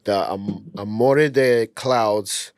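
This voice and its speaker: A man's voice: lowish voice, drawn-out words, dry recording, clean studio sound. A man hosting a casual podcast interview, talking loosely, swearing and hyping up his guest. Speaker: podcast host.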